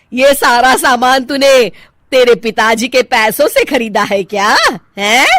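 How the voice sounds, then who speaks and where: A woman's voice: high voice, a bit bright, no room sound, studio quality. A woman voicing a bossy, fearless older mother-in-law in a cartoon moral story, scolding, taunting and commanding.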